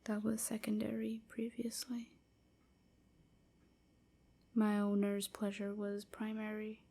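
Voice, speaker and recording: Casting: woman to play submissive, wounded female vampire slave for whispered ASMR roleplay; very slow, even, breathy, halting delivery. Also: mid-range voice. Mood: tender, grateful. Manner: slow, halting, hushed confiding.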